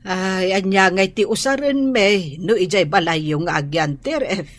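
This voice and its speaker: A woman's voice: medium pitch, unhurried, close to an everyday voice. A woman playing a blunt, nosy older neighbour in an Ilocano radio drama, with an Ilocano accent. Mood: indignant.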